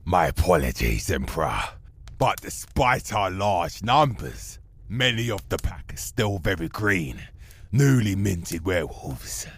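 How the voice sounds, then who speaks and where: A very deep, gruff werewolf commander for a fantasy audio drama. He boasts and grovels with theatrical swagger, speaking slowly in a husky growl. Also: very animated, exaggerated voice. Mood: apologetic. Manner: obsequious, bragging, theatrical. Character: fearsome male werewolf army commander, boastful yet servile.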